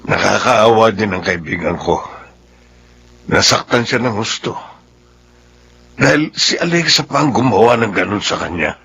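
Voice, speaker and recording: An actor playing a frank, sympathetic husband in a Filipino radio drama, speaking with a Filipino accent. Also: male voice, fairly low pitch, lively intonation, normal speed, gravelly, long pauses, bright, forceful tone, breathy edge, exaggerated voice. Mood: frank, concerned. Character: chatty, sympathetic middle-aged husband, frank and good-humored.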